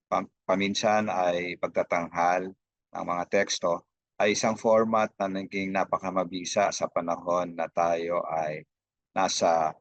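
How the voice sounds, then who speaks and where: A Filipino man giving unscripted opening remarks as a host, halting often with hesitations and broken-off words. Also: sits low, flat delivery, dry recording, lo-fi sound. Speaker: host, institute director.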